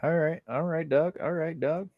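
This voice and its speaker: A man's voice: a little high, normal speed, dry recording, narrow, tinny audio. A man co-hosting a casual podcast chat, rambling and correcting himself as he talks. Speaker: podcast co-host.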